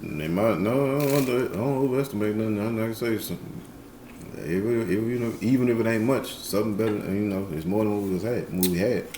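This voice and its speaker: A man in his thirties talking in a laid-back, informal and slightly halting way as a channel co-host. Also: fairly low pitch, warm tone, echoey room, slight background noise. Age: 30s. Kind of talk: casual chat.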